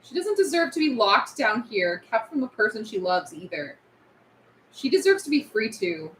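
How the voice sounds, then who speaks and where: High-voiced woman as a pragmatic survivor. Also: sing-song, normal speed, fairly bright tone, echoey room, a little hiss or hum, poor audio. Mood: compassionate. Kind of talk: natural script table read.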